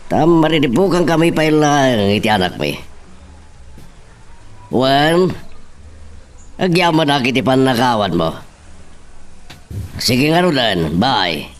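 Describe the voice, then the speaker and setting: Villainous mayor, male actor, unhurried delivery with big pitch swings, Ilocano Filipino accent, arrogant and short-fused, radio drama. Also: sing-song, faint music behind. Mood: smug, scheming.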